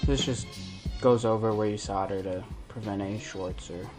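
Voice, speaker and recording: A man's voice: lowish voice, fairly even intonation, normal speed, over loud music. A man hosting a DIY video, talking through a hands-on tutorial in a casual, informal way as he thinks aloud.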